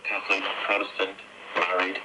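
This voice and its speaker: Middle-aged man, deep pitch, interview guest, personal storytelling, crackly and very noisy shortwave reception. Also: level tone, normal speed. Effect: shortwave radio.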